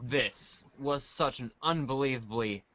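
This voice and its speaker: A man reads comic narration in a bright, forward voice of medium pitch. He goes slowly. The podcast audio is dry and a touch dull. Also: a little hiss or hum. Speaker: podcast reader of a webcomic. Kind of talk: comic narration read aloud.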